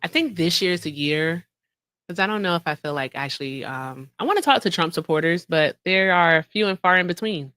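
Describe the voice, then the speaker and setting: Chatty woman livestreamer, low voice, dry sound. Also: animated, moderate pace, fairly bright tone, dry recording. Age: 30s. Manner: chatty, laughs often, addresses viewers as y'all. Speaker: livestream host. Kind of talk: casual livestream chat.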